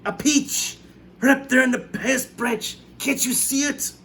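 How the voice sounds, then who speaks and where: A man voicing a grim, tall, bony aunt who shrieks orders in a shrill voice. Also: unhurried, bright, forceful tone, slight background noise, exaggerated voice. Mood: excited.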